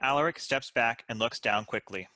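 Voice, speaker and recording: Bright-voiced man reading stage directions. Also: mid-range voice, moderate pace, no echo. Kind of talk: scripted narration.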